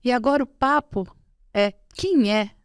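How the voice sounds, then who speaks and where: A woman's voice: a little high, a little slow, clear, resonant tone, no echo, clean studio sound. Playful woman hosting a podcast. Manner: playful, teasing questions. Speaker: podcast host. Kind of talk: casual interview hosting.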